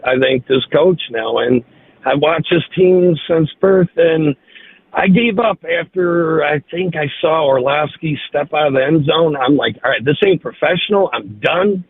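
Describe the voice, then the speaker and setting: A man's voice: fairly high pitch, unhurried, breathy edge. A man calling in to a sports radio show, eager and rambling, heard over a phone line. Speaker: caller. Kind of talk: call-in chat.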